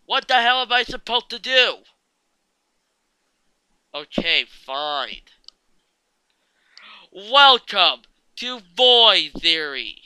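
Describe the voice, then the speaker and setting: A man's voice: sits high, very animated, very slow, long pauses, bright, forceful tone, clear, resonant tone, poor audio. A man hosting an online video, giving casual fan-theory commentary in a jokey, sweary way.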